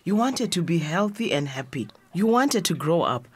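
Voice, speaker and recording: A woman's voice: fairly low pitch, very animated, fairly quick, breathy edge. A South African woman playing a troubled, traditional middle-aged mother in a radio drama. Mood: wistful. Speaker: actor.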